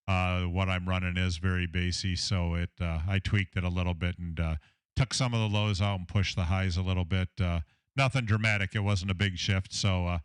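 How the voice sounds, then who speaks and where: A deep-voiced man with a Southern American accent, chatting casually about his radio audio setup from his home shack, with a lot of fillers and technical talk. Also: little pitch movement, moderate pace, clean tone, no echo. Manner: rambling, filler-heavy, technical. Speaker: ham radio operator, station owner.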